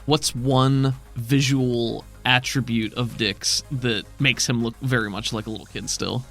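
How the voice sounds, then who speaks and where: A man narrating as game master, asking the players questions and tossing in joking asides in a relaxed way. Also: mid-range voice, a little slow, faint music behind.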